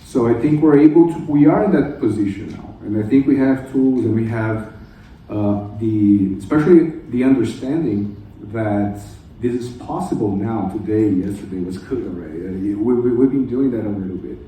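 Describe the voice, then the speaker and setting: Casting: male conference panelist, native Portuguese speaker, informal and halting, very mellow tone, distant mic. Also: fairly low pitch, very echoey. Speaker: conference panelist, translation technology professional. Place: indoor conference room.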